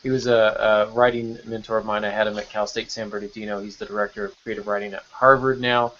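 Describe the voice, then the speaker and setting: A man's voice: lowish voice, little pitch movement, quick pace, fairly bright tone, a little hiss or hum. A man hosting a podcast panel in informal, bantering chat over an online call. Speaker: podcast host. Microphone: online video call. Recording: dull, lo-fi call audio.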